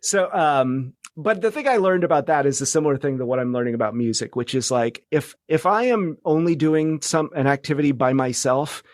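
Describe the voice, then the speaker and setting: A man's voice: fairly high pitch, a little fast, hardly any pauses, no echo, clean studio sound. A man in his forties speaking casually as a podcast guest, in a rambling, self-deprecating way. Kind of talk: casual conversational interview.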